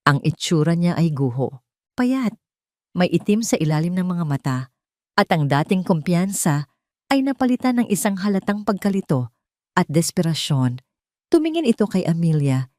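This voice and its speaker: A woman's voice: lowish voice, a little mellow, dry recording, studio quality. A woman reading a scripted dramatic story as narrator.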